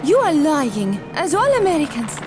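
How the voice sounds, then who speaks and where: A woman voicing a young female superhero, a fierce and protective sister, shouting urgent battle calls. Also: high-pitched, very animated, normal speed. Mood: scornful. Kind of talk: fan audio drama acting. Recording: voice over loud music score.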